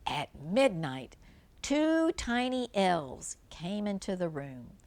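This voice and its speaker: A woman's voice: medium pitch, sing-song, takes it slowly, darkish tone. An older woman reads a children's storybook aloud like a grandmother, adding simple, chatty explanations for a young listener.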